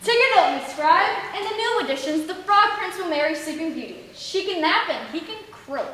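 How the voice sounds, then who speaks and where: A young female student acting as a brisk, confident marriage counselor in a theatrical fairy-tale stage comedy. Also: very high voice, expressive, pushed and bright, full character voice. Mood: decisive. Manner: theatrical, declamatory. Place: school stage performance, recorded from afar. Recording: distant mic, echoey stage, background hiss.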